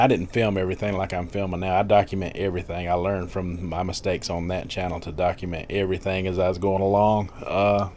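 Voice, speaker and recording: A man's voice: low voice, a little mellow, a little hiss or hum. A man in his fifties chatting casually in a rambling vlog, full of fillers and little laughs. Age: 50s. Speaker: vlogger.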